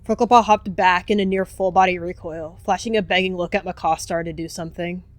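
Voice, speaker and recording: A woman's voice: fairly low pitch, fairly even intonation, fairly quick, no room sound. A woman narrating a dramatic roleplay story as a storyteller.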